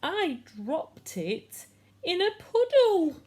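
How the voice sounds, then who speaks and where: A sad little storybook fox played by a woman, plaintive and wistful. The voice is high and slow, with big rises and falls. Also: sing-song, a little mellow, exaggerated voice. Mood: upset.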